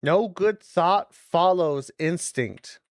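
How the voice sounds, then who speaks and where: A streamer of medium-pitched voice giving casual watch-along commentary, reading phrases aloud deliberately and adding loose muttered asides. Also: animated, dry recording, studio quality. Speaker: streamer reacting to videos.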